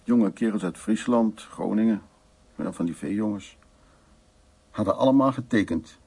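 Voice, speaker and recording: An actor playing an older, frank and dominant man who reminisces intimately in short phrases, in a radio play with a husky, gravelly voice. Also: fairly low pitch, unhurried, mellow. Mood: matter-of-fact.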